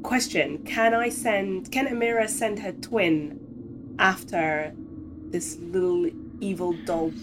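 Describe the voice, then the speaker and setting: Slow-talking woman in a tabletop game. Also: medium pitch, steady tone. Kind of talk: casual game chat. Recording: faint background noise.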